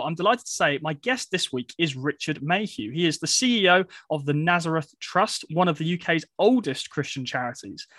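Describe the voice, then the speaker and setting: A man's voice: fairly high pitch, sharp tone, no echo. A man hosting a radio interview show, with a polished, upbeat presenter delivery in a studio. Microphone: studio mic.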